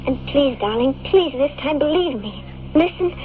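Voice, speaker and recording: A tinny old radio recording with faint noise carries a young American time traveler in love. Her voice is high, and she speaks with earnest, pleading intensity in classic radio drama fashion. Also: lively intonation, moderate pace. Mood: urgent. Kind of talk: 1950s radio drama acting.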